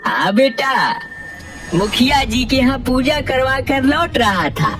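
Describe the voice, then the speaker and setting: A solemn cartoon village priest played by a woman, grave and consoling. The pitch moves expressively, with loud music behind. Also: lively intonation, slow pace. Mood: grave concern. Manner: grave, foreboding.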